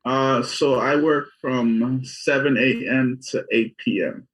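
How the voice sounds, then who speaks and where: A man's voice: medium pitch, fairly even intonation, slow, a bit bright, slightly rough. A man in his forties from Guyana, a doctor telling his life story in a plain, matter-of-fact way as an interview guest. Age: 40s. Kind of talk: casual interview answers. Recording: dull lo-fi audio.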